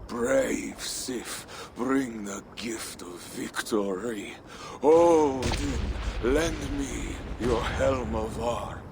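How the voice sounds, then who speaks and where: An actor playing a fierce, vengeful Viking warrior in a video game, with solemn, incantatory war cries. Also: male voice, medium pitch, drawn-out words, noisy background. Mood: battle fervor. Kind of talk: dramatic game cutscene acting.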